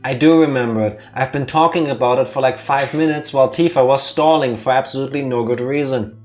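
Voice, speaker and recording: A man's voice: medium pitch, fairly quick, darkish tone, soft background music. Teasing Dutch young man streaming. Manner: teasing, laughs often. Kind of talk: casual let's play chat.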